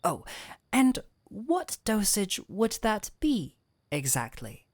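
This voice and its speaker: A woman playing a gentle but firm fantasy physician, calm and wry, in an intimate audio roleplay with measured, coaxing delivery. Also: medium pitch, no room sound, studio quality, heavily acted. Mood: coolly challenging. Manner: measured, coaxing, wryly stern. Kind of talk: intimate scripted audio roleplay addressed to the listener.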